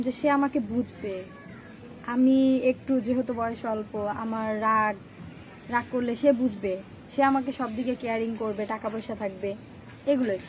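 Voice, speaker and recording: A woman's voice: on the high side, darkish tone, a little hiss or hum, poor audio. A twenty-year-old woman with a Bangladeshi accent, answering casual interview questions about her personal life. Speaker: interview guest.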